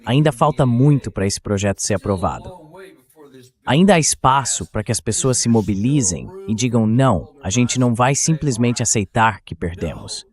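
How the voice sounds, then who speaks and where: A man with a synthetic dubbed voice, putting questions as a political interview host. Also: medium pitch. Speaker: talk show host.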